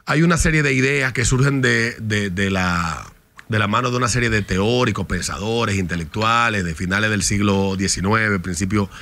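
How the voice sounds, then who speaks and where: A man's voice: lowish voice, moderate pace, fairly bright tone. A man with a Dominican accent, a guest in a podcast studio, telling a story with heat and plenty of swearing. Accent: Dominican Spanish. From Dominican Republic. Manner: heated, profane storytelling. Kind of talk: casual chat. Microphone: studio mic on stand.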